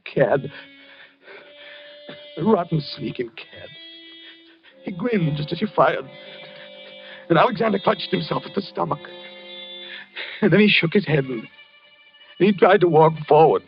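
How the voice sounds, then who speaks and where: An actor in an old-time radio drama playing a tormented, obsessive husband who talks haltingly and repeats himself. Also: male voice, on the high side, animated, gravelly, faint music behind. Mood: distraught, fixated. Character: tormented husband haunted by dreams of a duel. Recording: muffled vintage radio recording, dry.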